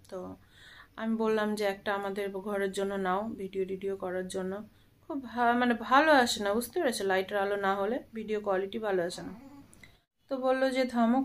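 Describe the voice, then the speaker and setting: Woman, fairly high pitch, chatty lifestyle vlog style, conversational, addresses viewers directly. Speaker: family lifestyle vlogger. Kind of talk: casual chatty vlog narration.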